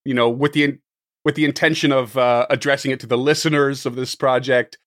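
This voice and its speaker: A hoarse man acting as game master on a tabletop podcast, rambling and laughing a lot between restarts. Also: medium pitch, fairly even intonation, fairly quick, fairly bright tone, no echo, studio quality.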